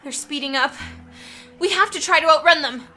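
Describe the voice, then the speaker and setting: Man voicing an earnest, high-pitched teenage village girl. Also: moderate pace, pushed and bright, faint music behind, heavily acted. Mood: alarmed. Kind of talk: fantasy audiobook character voice.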